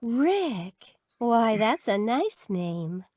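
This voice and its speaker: Woman to play a flirty, helpless radio ingenue, high and unhurried, coy with every line. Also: very animated, a little mellow, dry recording, studio quality, heavily acted. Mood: coy, flirtatious. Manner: coy, drawn-out. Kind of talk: old-time radio detective drama acting. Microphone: video call.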